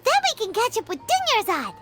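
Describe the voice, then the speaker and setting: A woman voicing a tiny, excitable and bossy childlike girl companion in an animated game, with a squeaky voice and brash manner. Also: fairly quick, heavily acted. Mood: determined. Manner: brash, exclamatory. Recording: music playing behind the voice.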